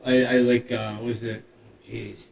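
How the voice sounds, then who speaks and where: A man's voice: mid-range voice, little pitch movement, measured pace, creaky, distant mic, a crowd in the background. Chatty older man in an echoey room. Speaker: podcast co-host. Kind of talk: casual chat. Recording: echoey room, away from mic.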